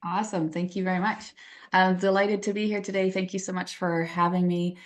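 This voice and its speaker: A woman's voice: on the low side, little pitch movement, a little fast. A woman with a Canadian accent, a guest accountant who owns a firm, introducing herself on a webinar in a friendly, professional, upbeat way over a video call mic. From western Canada.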